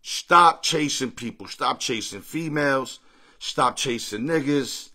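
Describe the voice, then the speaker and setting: A middle-aged man with a hoarse, strained voice, hosting a casual sports picks livestream from his home, greeting viewers by name in easygoing slang. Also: mid-range voice, slow, bright, forceful tone. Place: home room full of sports memorabilia with a TV. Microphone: phone or webcam at arm's length.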